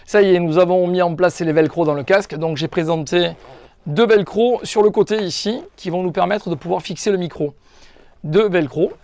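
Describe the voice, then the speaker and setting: Higher-voiced man with gravelly creak. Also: normal speed, darkish tone. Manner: explanatory, informal, addressing viewers directly. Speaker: shop staff presenting a tutorial. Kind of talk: step-by-step hands-on product tutorial.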